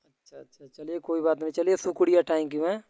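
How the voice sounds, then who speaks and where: A man's voice: a little high, fairly even intonation, fairly quick, dark tone, no echo. A man speaking on an informal recorded phone call, explaining things politely and at length. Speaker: YouTube news creator.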